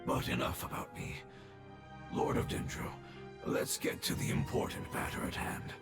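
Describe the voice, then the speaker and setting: An actor playing a dying ancient male creature who speaks in a hushed, gravelly, solemn way in a game cutscene. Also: natural voice. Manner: solemn, measured farewell. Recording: echoey voice over game music.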